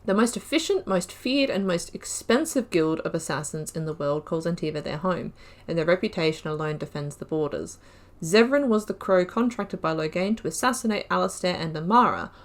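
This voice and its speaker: A woman's voice: on the low side, lively intonation, a little fast. A woman hosting a game playthrough, reading lore entries aloud and breaking off into casual, rambling asides. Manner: chatty, trails off and restarts mid-sentence. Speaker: gaming video host.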